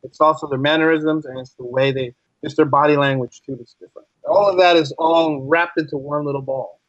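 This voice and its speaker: Higher-voiced American man explaining, muffled audio. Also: a little fast, no room sound. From United States, East Coast. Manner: animated explainer, checks in with rhetorical questions. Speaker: podcast guest, English as a second language teacher. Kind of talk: casual interview conversation.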